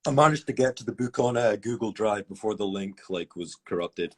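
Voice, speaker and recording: A man interviewing a guest on a video call, keeping his questions and remarks short and relaxed. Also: on the low side, fairly quick, husky. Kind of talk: casual video-call conversation.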